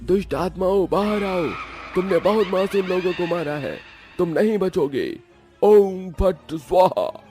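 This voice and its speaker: A man's voice: high voice, expressive, measured pace, mellow, pitched above the performer's own voice. Tantric ghost-hunter for cartoon horror male performer: solemn, commanding, resolute, music behind. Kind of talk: animated horror story dialogue.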